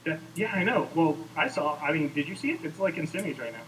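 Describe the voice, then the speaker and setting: A man's voice: on the high side, animated, fast, fairly bright tone, far from the mic. A man co-hosting a livestream with casual, wry, joking banter and repetitive clowning, on noisy audio. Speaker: co-host of a live game preview stream.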